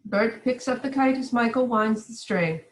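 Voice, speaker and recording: A woman's voice: on the high side, normal speed, rough recording. A woman reading stage directions as the narrator in scripted narration.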